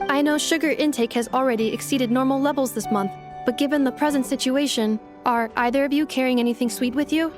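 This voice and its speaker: A woman's voice: sits high, fairly quick, natural voice. A woman voicing a polite, logical female robot in a video game, speaking in clipped, formal, analytical phrases. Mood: earnest. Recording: music behind the voice.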